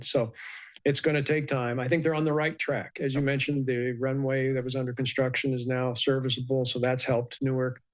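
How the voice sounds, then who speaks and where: A man giving a measured, explanatory expert commentary in a live news interview, with many ums and uhs. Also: mid-range voice. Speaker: aviation expert guest.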